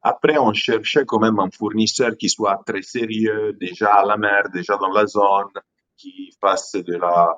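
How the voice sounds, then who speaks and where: A man from Italy speaking French with an Italian accent, halting and repeating words as he searches for them in a casual live chat. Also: on the low side, steady tone, fairly bright tone, lo-fi sound, a little hiss or hum. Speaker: aquarium product company founder.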